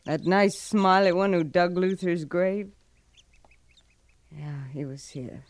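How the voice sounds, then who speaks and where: A woman's voice: lowish voice, slow pace, no room sound. An actress playing a bitter, defiant frontier widow in a 1950s radio western, scornful and folksy in her phrasing.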